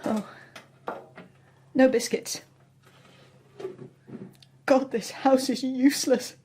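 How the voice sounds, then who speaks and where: An actress playing a tired, tender young mother in an intimate radio drama, soft and breathy. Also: high voice, fairly even intonation, extremely slow, a little hiss or hum. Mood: weary.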